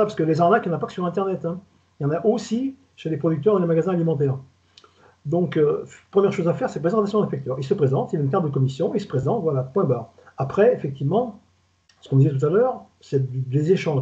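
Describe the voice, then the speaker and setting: Older man, southern French accent, retired inspector type; rapid, rambling, colloquial delivery, mellow tone, lo-fi call audio. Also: a little high, animated. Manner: fast, rambling, colloquial, stumbles and repeats words. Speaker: retired food safety inspector, guest expert. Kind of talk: casual webinar talk. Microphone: video call. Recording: dull lo-fi video call audio.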